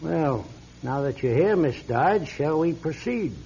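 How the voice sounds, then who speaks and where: An actor playing a polite, fussy lawyer in a classic radio drama, speaking formally and hesitantly. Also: male voice, fairly high pitch, expressive, unhurried, warm tone, exaggerated voice. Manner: formal, hesitant, throat-clearing. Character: polite, fussy male lawyer reading a will. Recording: dull lo-fi radio recording.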